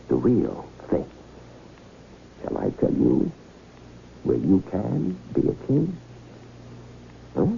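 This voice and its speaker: An actor playing a smooth-talking, goading male swindler in 1970s radio drama style, rhetorical and needling, with dramatic pauses. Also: lowish voice, slight background noise, exaggerated voice. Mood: tempting, conspiratorial. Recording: muffled 1970s radio broadcast recording.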